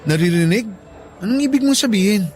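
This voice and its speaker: A man's voice: high voice, animated, fairly quick, a little mellow, faint music behind. An actor playing a sweet, devoted young male student suitor, with a casual boyish manner, in a radio-style drama. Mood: dismissive.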